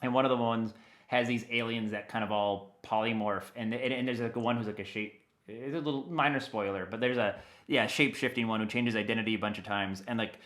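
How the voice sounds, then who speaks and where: A man speaking as an audio dramatist guest in a conversational, enthusiastic interview, telling things in an animated way. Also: on the low side, fairly quick.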